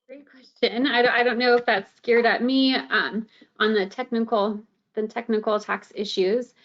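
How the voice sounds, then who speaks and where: A woman's voice: fairly high pitch, steady tone, moderate pace. A woman presenting tax information on a webinar, explaining plainly with frequent ums. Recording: dull lo-fi web call audio.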